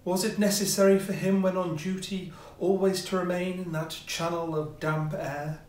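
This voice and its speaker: Echoey male storyteller, slightly high-pitched. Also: level tone, normal speed, a little mellow. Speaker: audiobook reader, amateur player. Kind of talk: scripted narration of a classic ghost story. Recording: roomy echo, distant mic, faint noise.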